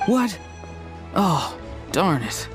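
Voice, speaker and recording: A woman's voice: on the low side, sing-song, drawn-out words, full character voice. A young woman voicing an earnest, naive, boyish young hero in a video game, sincere and a little quirky. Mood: troubled. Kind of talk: video game character dialogue. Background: game background music.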